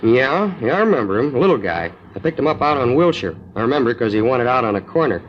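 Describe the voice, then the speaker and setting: An actor playing a nosy, working-class city cab driver who talks in a colloquial, folksy, inquisitive way in a 1950s radio drama. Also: male voice, mid-range voice, sing-song, fast, close to an everyday voice. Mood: recalling, chatty. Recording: dull, hissy 1950s radio recording.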